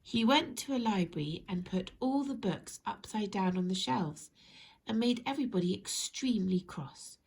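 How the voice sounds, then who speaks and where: A woman narrating a children's story expressively, in measured phrases that speak directly to young listeners. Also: mid-range voice, expressive, vocal fry, darkish tone, slight background noise. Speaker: storybook reader.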